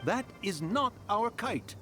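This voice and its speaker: A man's voice: high voice, lively intonation, slow pace, pushed and bright, soft background music, exaggerated voice. A man playing a stern, worried immortal in a video game, scolding in pompous, archaic language. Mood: alarmed scolding. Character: stern, anxious, scolding male immortal adeptus. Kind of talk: video game cutscene acting.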